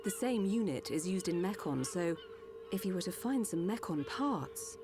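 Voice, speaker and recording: An actress playing a calm, precise female Machina doctor explaining a treatment. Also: on the low side, moderate pace, close to an everyday voice. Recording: game cutscene with music.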